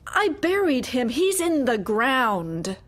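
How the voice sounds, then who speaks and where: A woman playing a downtrodden employee and grieving mother in a dark audio drama, answering in a terse, guarded way. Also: high-pitched, sing-song, slow, exaggerated voice. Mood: subdued, stunned.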